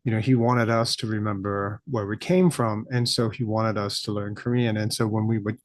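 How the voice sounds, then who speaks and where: A middle-aged American man hosting a solo podcast, talking reflectively and thinking aloud with many pauses and fillers. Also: lowish voice, steady tone, dry recording, studio quality. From United States. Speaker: podcast host.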